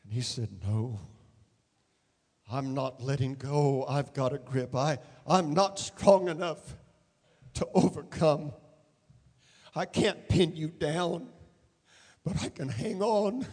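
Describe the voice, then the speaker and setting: A man preaching a dramatic sermon, acting out voices in the story and rising to shouts at the peaks. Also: fairly high pitch, slow, long pauses, slightly rough, long reverb tail. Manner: dramatic, acts out biblical figures in quotes, shouts at peaks. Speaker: pastor.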